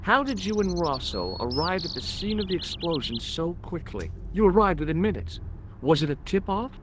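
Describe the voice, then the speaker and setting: A man's voice: fairly high pitch, very animated, normal speed, background noise. An actor playing an earnest, persistent young American man in a video game, with a dryly sardonic manner. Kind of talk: video game dialogue acting.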